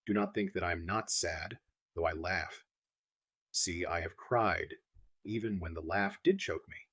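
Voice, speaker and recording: A man reading the lines of an old foreign professor who speaks slow, broken English, grieving yet philosophical. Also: low-pitched, a bit bright, breathy edge, no echo, clean studio sound, full character voice. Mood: earnest. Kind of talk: audiobook reading.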